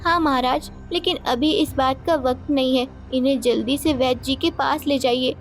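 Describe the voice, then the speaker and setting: Woman acting a sweet-talking, high-voiced junior queen. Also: fairly even intonation, moderate pace, faint music behind. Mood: flustered. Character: sweet-talking, falsely innocent young second queen. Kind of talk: cartoon folktale acting.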